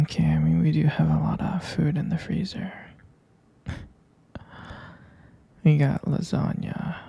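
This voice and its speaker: A man playing a tender, doting boyfriend in an ASMR roleplay, murmuring slowly and affectionately in a breathy, husky whisper. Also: a little high, dark tone, exaggerated voice. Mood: sleepy, caring. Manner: slow, hesitant, affectionate murmuring with pet names. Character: tender, doting vampire-romance boyfriend soothing partner to sleep.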